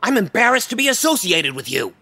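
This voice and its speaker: A resentful office coworker in a radio play. His voice is high-pitched and bright. He scolds in an uptight, indignant way. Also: very animated, a little fast, heavily acted. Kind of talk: audio play acting.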